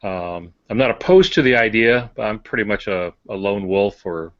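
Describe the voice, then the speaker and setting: A man's voice: on the low side, lively intonation, normal speed, dry recording, muffled recording. An older man co-hosting a podcast as an author, talking casually in a hesitant, plainspoken way with dry humour. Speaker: podcast co-host and published author. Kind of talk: casual conversation.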